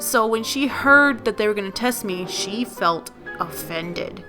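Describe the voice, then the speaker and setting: A tired young woman with a raspy, lower voice, talking casually and unscripted in a vlog, full of fillers and restarts. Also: expressive, unhurried. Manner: rambling, many fillers and self-corrections. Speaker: video creator. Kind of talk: unscripted casual vlog talk over speed-drawing. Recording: music playing underneath.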